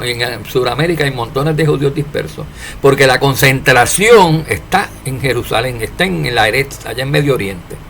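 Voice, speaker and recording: A man preaching an informal sermon to his followers, asking rhetorical questions and swinging between loud and soft. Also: mid-range voice, slight background noise. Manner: preachy, rhetorical questions, animated rises and drops in loudness.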